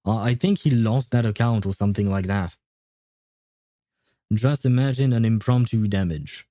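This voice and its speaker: A man livestreaming a game, chatting casually with viewers and explaining things step by step with hesitant pauses. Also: fairly low pitch, mellow, dry recording. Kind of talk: casual gaming livestream chat, answering viewer questions.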